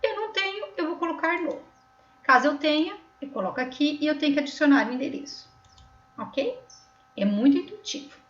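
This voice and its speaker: Woman, Brazilian São Paulo accent, high voice, patient tutorial explainer, roomy slightly muffled sound. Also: animated, brisk. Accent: Brazilian Portuguese, São Paulo. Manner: patient and explanatory, often checking in with the viewer. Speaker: tutorial host. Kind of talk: step-by-step screen tutorial voice-over. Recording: roomy, slightly dull recording.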